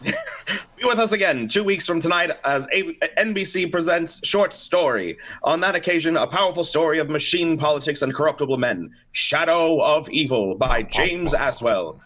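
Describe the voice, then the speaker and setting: A man with a slightly high voice reads as narrator and announcer of an old radio drama. His delivery is informal and playful, with stumbles over the script. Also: expressive, moderate pace, a bit bright.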